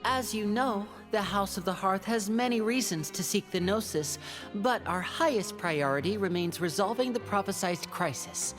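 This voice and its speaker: A woman's voice: mid-range voice, normal speed, close to an everyday voice. A woman voicing a polite, shrewd young man in a video game, speaking with polished, diplomatic composure. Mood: diplomatic, persuasive. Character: polite, shrewd young male stage magician, composed schemer. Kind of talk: video game character dialogue. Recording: faint music behind.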